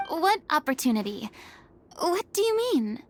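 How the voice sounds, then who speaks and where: An actress voicing a theatrical, self-important young female star in an anime-style game, with a showy, expressive manner. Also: high-pitched, unhurried, slight background noise. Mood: earnest.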